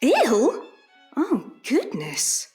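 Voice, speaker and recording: High, lilting proper lady. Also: wildly swooping pitch, extremely slow, darkish tone, faint music behind, full character voice. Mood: polite, concerned. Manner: polite, fussing. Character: kindly, concerned, proper Edwardian lady. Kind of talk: audio drama acting.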